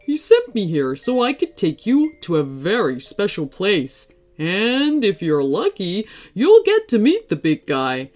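A woman's voice: mid-range voice, huge pitch swings, a little slow, darkish tone. A woman voicing a friendly, jolly talking Christmas tree in a storybook, hearty, playful and proud. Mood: warm, glad.

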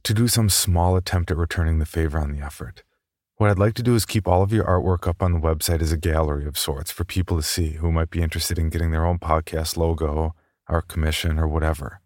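A man's voice: very deep voice, fairly even intonation, fast, darkish tone, no echo. A man hosting a podcast, giving informal announcements close to the mic. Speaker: podcast host.

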